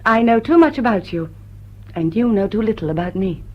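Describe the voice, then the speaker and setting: An enigmatic society widow acted by a woman in a period drama, composed and wry. Her voice is husky with lively intonation, and she teases with cool wit. The sound is slightly dull. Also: medium pitch, brisk, close to an everyday voice. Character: poised, enigmatic society widow, teasing a suitor. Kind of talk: period drawing-room radio drama. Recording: dull, muffled audio.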